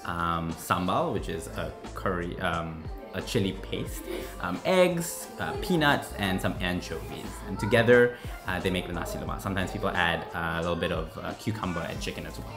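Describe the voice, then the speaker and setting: Very deep-voiced man over music. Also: moderate pace. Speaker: guest food taster. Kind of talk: explaining in casual talk.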